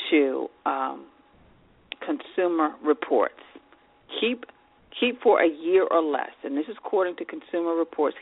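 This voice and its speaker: A woman's voice: low-pitched, gravelly. Congested woman on a tinny line. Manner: reads lists of tips with fillers. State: congested, nasal. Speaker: podcast host. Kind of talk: advice podcast talk.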